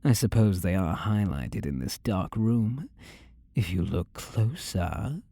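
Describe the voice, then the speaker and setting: A man's voice: lowish voice, animated, takes it slowly, gravelly, warm tone, dry recording, heavily acted. A man playing a possessive demon-possessed ex-boyfriend in a scripted roleplay audio drama, condescending and teasing with coaxing endearments. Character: controlling, possessive demon-possessed ex-boyfriend, smug and menacingly tender.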